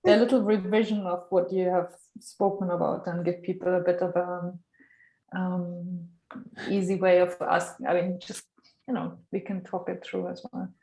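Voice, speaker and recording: A woman's voice: fairly low pitch, level tone, normal speed, mellow. A woman hosting a seminar over a video call, chatty and casual. Her voice sounds roomy and echoey. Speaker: host. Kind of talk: casual chat.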